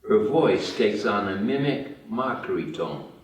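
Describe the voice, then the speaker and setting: A man's voice: on the low side, sing-song, measured pace, slight background noise. A man narrating the stage directions of a screenplay at a table read. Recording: roomy, distant mic.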